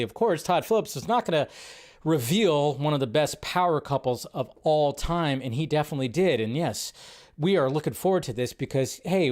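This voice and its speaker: A man's voice: a little high, a little mellow. A man hosting a live movie news stream, talking casually in a loose, rambling way with lots of fillers.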